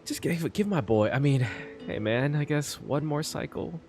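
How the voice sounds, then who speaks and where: A man's voice: on the high side, expressive, moderate pace, darkish tone, faint music behind. A man streaming a game, reacting casually and emotionally to the story, often laughing, trailing off and exclaiming.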